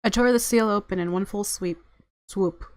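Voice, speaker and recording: A woman's voice: medium pitch, normal speed, darkish tone. A woman narrating a visual novel aloud as a let's-player, mixing story reading with casual commentary.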